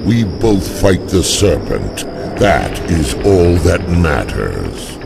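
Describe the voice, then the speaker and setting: A man voicing a dark, sinister supernatural tempter in a game cutscene, gravelly, slow and ominous, cryptic and patient. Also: low voice, big pitch swings, exaggerated voice. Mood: ominous, coaxing. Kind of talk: video game cutscene acting. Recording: loud music behind the voice.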